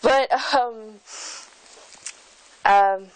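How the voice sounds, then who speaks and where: A woman's voice: a little high, very slow, smooth, poor audio. A young woman vlogging from home, rambling and self-conscious with frequent giggles, filmed on a phone held at arm's length. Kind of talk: casual rambling vlog.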